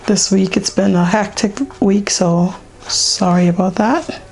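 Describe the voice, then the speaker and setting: A woman with a hoarse, partly lost voice, casually talking a beginner through a painting step by step, unhurried and with many ums. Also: mid-range voice, fairly even intonation, darkish tone. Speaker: painting teacher.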